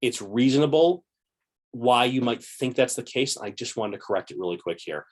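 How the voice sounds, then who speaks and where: A man in his forties hosting an investing channel, casually explaining to a webcam from a home room in an upbeat, chatty way, a little hoarse. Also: mid-range voice, animated, moderate pace. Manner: upbeat, chatty, gesturing with both hands. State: slightly hoarse, clearing throat.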